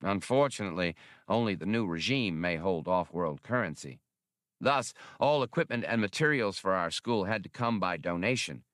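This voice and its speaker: A man's voice: fairly low pitch, fairly bright tone, no echo, clean studio sound. A man voicing a thin, solemn alien scholar in an audiobook, speaking formally and earnestly. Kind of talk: audiobook character reading.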